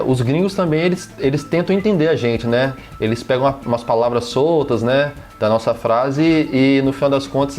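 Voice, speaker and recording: A Brazilian-accented man hosts a relaxed podcast conversation. He talks in a loose, halting way, often restarting and tagging his sentences, over faint music. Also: mid-range voice. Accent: Brazilian Portuguese. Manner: hesitant, frequent restarts and tag questions. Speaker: podcast host. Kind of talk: casual interview chat.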